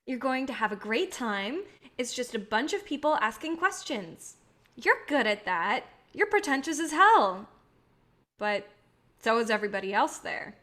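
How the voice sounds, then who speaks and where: A woman's voice: on the high side, sing-song, measured pace, pushed and bright, heavily acted. A woman playing an idealistic, patient filmmaker in a staged play reading, speaking in an earnest, coaxing way. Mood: encouraging.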